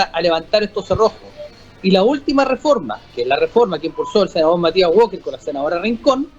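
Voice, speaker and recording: A man with a Chilean accent, a guest on a political panel, arguing his view in a measured way with frequent restarts. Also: high voice, a little mellow. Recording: slightly muffled audio with faint music.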